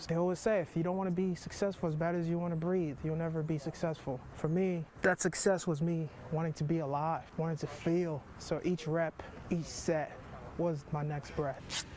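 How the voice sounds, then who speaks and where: A man's voice: fairly high pitch, little pitch movement, normal speed, a little mellow, a little hiss or hum. A young man from Haiti speaking earnestly and reflectively in an interview. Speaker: interviewee, athlete.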